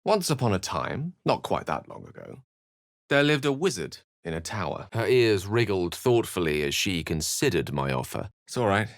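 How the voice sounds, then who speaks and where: Low-voiced, urbane British wizard. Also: male voice, sing-song, normal speed, dry recording, clean studio sound. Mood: earnest, reflective. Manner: articulate, bookish, theatrical phrasing. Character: eloquent, urbane male wizard, wry and courteous. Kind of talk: video game character voice acting.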